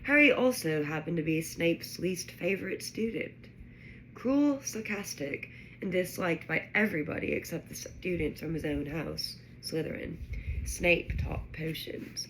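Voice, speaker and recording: A woman narrating a children's fantasy story aloud in an expressive reading style. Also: lowish voice, measured pace, slight background noise, rough recording. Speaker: audiobook-style reader.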